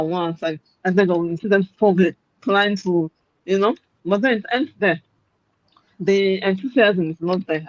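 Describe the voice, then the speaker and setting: A woman's voice: medium pitch, no echo, muffled recording. A Nigerian woman chatting casually about raising children, laughing often as she reflects. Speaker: parent in a discussion. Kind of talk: casual chat.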